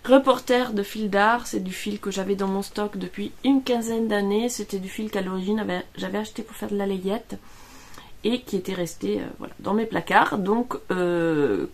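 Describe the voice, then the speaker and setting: A middle-aged woman from Italy with a clear Italian accent, speaking casually at home. Her voice sits mid-range with a gravelly edge. She hesitates often, tired after an illness. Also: mid-range voice, moderate pace. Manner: hesitant, many fillers and restarts. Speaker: vlogger. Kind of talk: casual chat.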